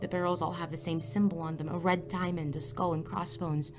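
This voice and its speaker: A woman's voice: fairly low pitch, flat delivery, a little fast, lightly acted. Woman playing an anxious teenage heroine over music. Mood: tense, alarmed. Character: anxious, self-doubting teenage girl narrating her superhero adventure. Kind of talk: first-person dramatic narration and dialogue. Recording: music underneath.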